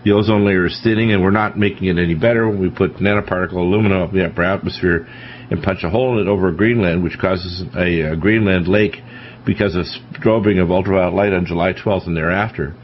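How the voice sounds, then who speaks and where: A man's voice: low-pitched, steady tone, quick pace. A man hosting a talk radio panel, lecturing emphatically and warning of disasters. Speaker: radio talk show host. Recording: dull lo-fi radio broadcast with faint hiss.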